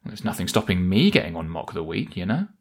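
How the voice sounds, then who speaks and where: A man's voice: low voice, very animated, a little fast. A man, hosting scripted satirical comedy in a defensive, exasperated manner. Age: 30s. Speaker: satirical news podcast host and writer.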